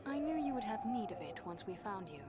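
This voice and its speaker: An actress playing a composed, earnest adventurer in a fantasy game, speaking in a formal, measured way. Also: female voice, mid-range voice, very animated, loud music behind the voice, close to an everyday voice.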